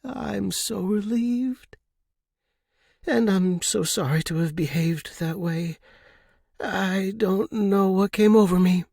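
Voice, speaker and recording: A man's voice: high voice, slow, vocal fry, a little mellow, no room sound, clean studio sound, pitched above the performer's own voice, heavily acted. A man voicing an imperious, emotional old wealthy woman with formal speech in a dramatic story. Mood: tearful relief. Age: older. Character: imperious, distraught old wealthy widow, volatile and fragile.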